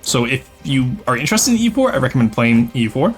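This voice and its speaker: A man's voice: mid-range voice, very animated, fast talker, husky, faint music behind. A young French Canadian man with a light Québécois accent, chatting casually with his viewers while playing a strategy game. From Quebec, Canada. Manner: chatty, reads and answers chat while playing. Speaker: streamer. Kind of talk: casual gameplay livestream chat.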